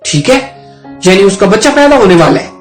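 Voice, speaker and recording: A Pakistani man with a distinctly high voice explains law in an informal, storytelling way. He restates his points as he goes. The room echoes noticeably and music plays underneath. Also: very animated, fairly quick. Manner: conversational, repeats and rephrases points. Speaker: advocate and legal educator. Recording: roomy echo, music underneath.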